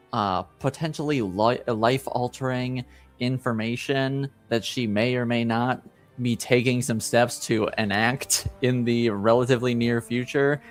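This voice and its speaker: A man's voice: mid-range voice, smooth. A man hosting as game master, recapping casually in a hesitant, wry, amused way.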